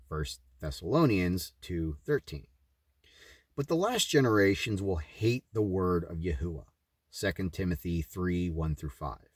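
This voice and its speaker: A man's voice: low voice, clean studio sound. A man reading a scripted religious text aloud as narration. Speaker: reader of a religious book. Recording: roomy echo, distant from the mic.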